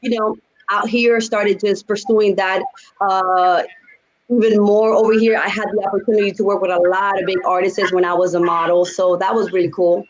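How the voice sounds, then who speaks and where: A young woman from the Dominican Republic, chatty and animated, talking as a guest on a casual video-call interview from her home studio. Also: mid-range voice, normal speed, highs cut off. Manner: animated, gestures with her hands while talking; chatty, laughs between stories. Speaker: guest singer.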